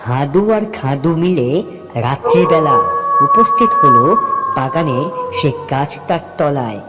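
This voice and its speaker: A man's voice: on the high side, wildly swooping pitch, a little slow, over loud music. Reverberant male storyteller. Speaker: narrator. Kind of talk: scripted storybook narration. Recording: long reverb on the voice.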